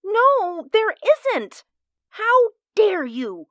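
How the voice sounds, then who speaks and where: A woman's voice: very high-pitched, very animated, extremely slow, a bit bright, no room sound, muffled recording, exaggerated voice. A woman voicing Miss Landis, a curt, offended neighbor on the phone in a radio drama. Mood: indignant. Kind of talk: hobby radio drama acting.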